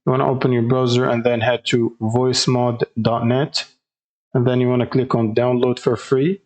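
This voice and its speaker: A man narrating a casual step-by-step software tutorial as its host, in a halting, hesitant way with frequent pauses. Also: medium pitch, little pitch movement.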